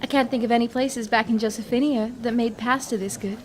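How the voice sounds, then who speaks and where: A woman's voice: a little high, steady tone, brisk, lightly acted. A woman playing a sweet, happy dwarf in an audio drama, chatty, friendly and polite.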